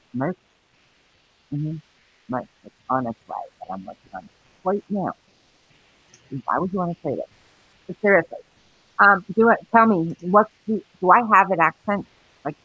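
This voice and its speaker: A woman's voice: low-pitched, slightly rough, no echo, dull audio. A middle-aged woman from New York hosting a livestream, chatting casually, rambling between topics and reading out the chat with frequent laughs. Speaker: livestream host.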